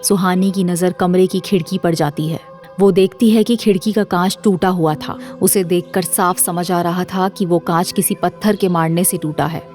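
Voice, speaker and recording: Lower-voiced woman narrating a drama story. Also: fairly even intonation, moderate pace, a little mellow, faint music behind.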